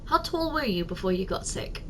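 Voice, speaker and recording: A woman playing a giant, doting, teasing office secretary in an ASMR roleplay, speaking softly and coaxingly straight to the listener. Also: mid-range voice, very animated, normal speed, slight background noise, lightly acted. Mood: helpful, attentive. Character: giant, doting, teasing female office secretary, tender toward tiny boss.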